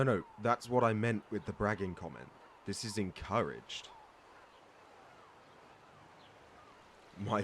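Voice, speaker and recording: A man's voice: deep voice, slight background noise, lightly acted. A man playing a boastful, good-humored veteran pirate and sea-beast hunter in a storytelling roleplay audio drama. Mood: mentoring, calm. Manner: bragging, teasing, theatrical storyteller with hesitant asides. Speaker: voice actor reading a script.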